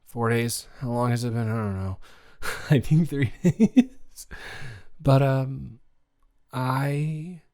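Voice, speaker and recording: A man plays a young college dormmate with a mid-pitched voice in a romantic audio roleplay. He is sweet and smitten, talking in a casual, natural way. He rambles and stammers, nervous but earnest. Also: darkish tone, lightly acted. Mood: flustered. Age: young adult. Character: flustered, sweet young male college dormmate falling in love.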